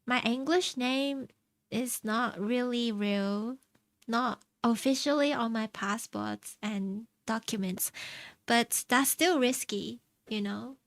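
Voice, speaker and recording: A young woman from China chatting casually on stream, answering her chat in a soft, rambling way and laughing often. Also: on the high side, slow, no echo. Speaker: VTuber streamer. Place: home streaming setup.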